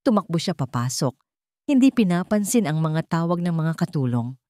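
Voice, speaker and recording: A woman's voice: lowish voice, big pitch swings, moderate pace, warm tone, no echo, clean studio sound. A woman storyteller reading scripted fiction in a dramatic narration style.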